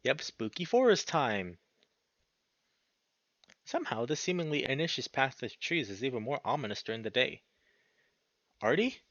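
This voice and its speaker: A man reading a visual novel aloud as expressive narration, breaking off into casual, sweary, slangy reactions. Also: medium pitch, moderate pace, pauses often, dry recording, highs cut off. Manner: animated, sweary, slangy interjections.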